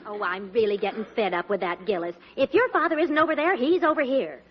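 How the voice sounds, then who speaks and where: An actress playing a fed-up, gossipy middle-aged American housewife in a 1940s radio comedy. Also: female voice, a little high, brisk, over music, natural voice. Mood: exasperated gossip. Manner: exasperated, gossipy. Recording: dull old radio broadcast.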